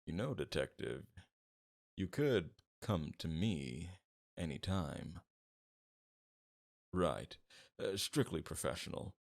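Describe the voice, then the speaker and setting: A man playing a smug, flirtatious and menacing mob boss in an ASMR roleplay, with a suave, teasing delivery and a gravelly voice. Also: rumbling low, big pitch swings, exaggerated voice. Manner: teasing, suave, drawn-out flirtation with veiled threats. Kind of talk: ASMR roleplay, scripted character acting.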